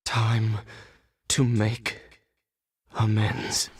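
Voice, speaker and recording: A man's voice: lowish voice, monotone, very slow, heavy background noise. A man playing a sorrowful, grave warrior haunted by guilt, solemn and brooding in a video game. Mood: remorseful, anguished. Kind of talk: dramatic video game narration. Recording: faint game music behind.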